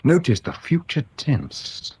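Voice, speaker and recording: An actor playing a cultivated, pensive investigator in a radio drama, musing deliberately and probing as he goes. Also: fairly high pitch, wildly swooping pitch, darkish tone, husky, exaggerated voice.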